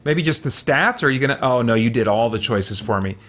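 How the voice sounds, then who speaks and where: A man's voice: medium pitch, animated, fairly quick, fairly bright tone. A man with a mid-range voice hosts a gaming video in a casual way. He thinks aloud as he plays, muttering to himself and hesitating between choices. Mild oaths slip out as exclamations. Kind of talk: casual let's-play commentary, thinking aloud.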